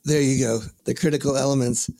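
A man hosting a podcast interview, asking questions in measured, formal phrasing with small stammers. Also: mid-range voice, steady tone, gravelly, mellow. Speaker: podcast host. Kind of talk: interviewing a guest.

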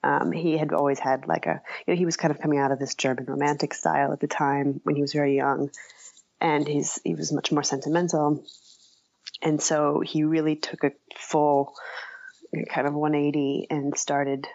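Low, creaky-voiced woman in interview. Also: flat delivery, moderate pace, a little mellow, dry recording. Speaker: interview guest, writer. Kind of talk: conversational interview answers. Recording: dull, lo-fi, dry audio.

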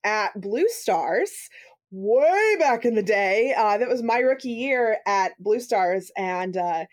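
A woman hosting a podcast, telling a story upbeat and animated in casual chat. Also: medium pitch, slow. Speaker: podcast host.

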